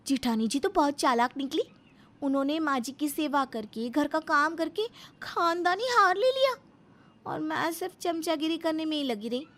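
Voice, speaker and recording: Woman playing a wheedling, high-voiced young daughter-in-law. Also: animated, moderate pace, fairly bright tone. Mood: envious, determined. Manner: wheedling, sweet-talking. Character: eager, flattering young daughter-in-law, scheming to win favour.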